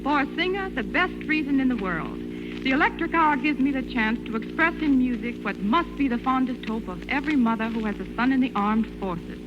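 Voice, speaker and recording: A woman's voice: sits high, expressive, brisk. A woman speaking scripted, formal lines as a guest on a 1940s radio program. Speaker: guest soprano on a radio show. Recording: dull vintage 1940s radio broadcast. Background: music.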